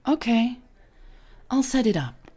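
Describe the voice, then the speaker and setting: A woman's voice: a little high, big pitch swings, slow, warm tone, slightly rough, pitched above the performer's own voice, full character voice. A woman voicing a casual female tech reporter in conversational audio fiction dialogue. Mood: casual, agreeable.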